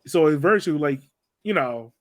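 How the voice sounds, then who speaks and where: Higher-pitched man chatting about sports. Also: lively intonation, moderate pace, no room sound. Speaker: podcast host moderating a soccer discussion. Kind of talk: casual sports chat, post-game analysis.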